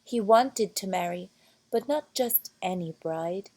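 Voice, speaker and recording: A woman narrating a children's fairy tale from a storybook, with deliberate, expressive phrasing. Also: mid-range voice. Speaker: storybook reader.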